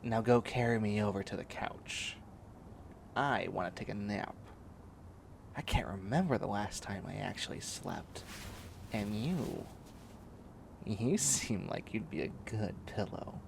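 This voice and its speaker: A man's voice: fairly low pitch, a little slow, creaky, long pauses, noisy background. A man playing a bossy, clingy young catboy in a comedic roleplay audio drama, whining and demanding in a needy way.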